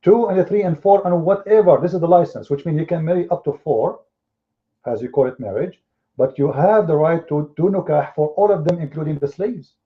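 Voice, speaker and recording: A man with an Arabic accent argues his point hard in a live debate, pressing his opponents with mocking rhetorical questions. His voice sits a little high for a man. The audio is thin and boxy, like a phone line. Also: brisk. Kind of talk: heated live online debate.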